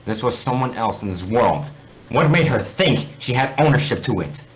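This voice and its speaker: A man's voice: mid-range voice, animated, moderate pace, rough recording. A man reading a fan fiction story aloud informally, stumbling over words and correcting himself. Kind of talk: informal read-aloud narration among friends.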